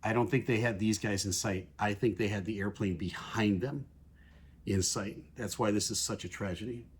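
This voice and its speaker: A man giving explanatory commentary as an aviation analyst, talking in a measured, plain-spoken way and putting radio calls into everyday words. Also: fairly low pitch, fairly even intonation, husky. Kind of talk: explanatory commentary over air traffic recordings.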